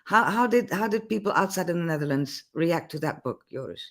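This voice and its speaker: A man's voice: on the high side, animated, a little fast, a bit bright. A man hosting a live online interview over a video call, eager and enthusiastic, often stumbling over how he begins a question. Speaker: host.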